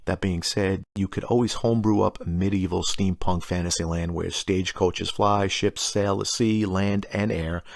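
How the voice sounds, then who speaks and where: A man's voice: deep voice, fairly even intonation, normal speed, vocal fry, hardly any pauses, no room sound, studio quality. A middle-aged man hosting a tabletop gaming explainer, reading prepared lore in a wry, informal way with casual asides. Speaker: host of a tabletop gaming channel.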